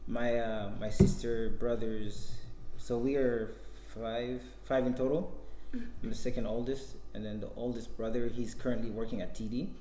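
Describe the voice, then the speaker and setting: Mellow-voiced young man hosting a chat. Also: mid-range voice, normal speed. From Guinea. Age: 20s. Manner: relaxed, hesitant with many fillers. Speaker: host. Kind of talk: casual podcast chat. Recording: echoey room with faint noise.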